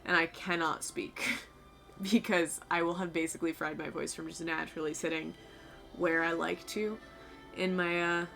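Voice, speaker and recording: A young woman streamer with a low, fried voice, chatting casually with her viewers in a rambling, loose way, her voice a little tired and sore. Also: level tone, moderate pace, fairly bright tone. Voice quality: low voice with vocal fry. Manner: rambling, talks to chat, swears casually. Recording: faint music behind.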